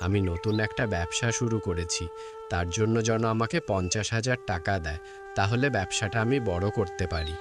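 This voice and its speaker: A man's voice: on the low side, moderate pace, over music, close to an everyday voice. A man voicing a bossy, greedy young village husband in a cartoon drama. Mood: domineering, angry. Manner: bossy, scolding.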